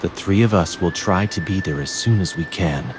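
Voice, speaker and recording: An actor playing a grave, commanding battle leader in a video game, with a solemn, authoritative delivery. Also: male voice, sits low, moderate pace, background noise, lightly acted. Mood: urgent. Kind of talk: dramatic video game voice acting.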